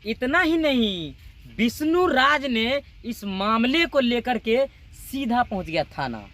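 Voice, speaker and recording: A man's voice: very high voice, big pitch swings, slow, a bit bright. A man hosting a YouTube channel with comic reaction commentary, clownish and often repeating his words and phrases.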